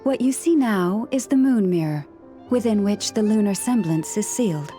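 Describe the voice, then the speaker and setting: A woman voicing a calm, reassuring guide. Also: mid-range voice, normal speed, soft background music, lightly acted. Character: calm, composed young woman guide with animal helpers. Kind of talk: video game cutscene dialogue.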